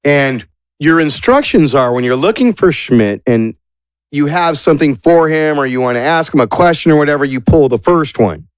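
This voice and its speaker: A man's voice: fairly high pitch, animated, normal speed, clean tone, no room sound, rough recording. A man narrating a tabletop roleplaying game as game master in a casual, playful, improvised way.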